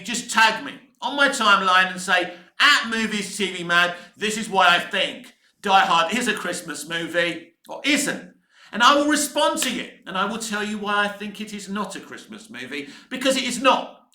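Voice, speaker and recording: An echoey room carries a very high, bright voice. It belongs to a British man in his fifties, ranting casually as a film vlogger. He is emphatic and exasperated, hammering his points over and over. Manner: emphatic, exasperated, swearing mildly, repetitive. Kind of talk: opinionated rant to camera, casual.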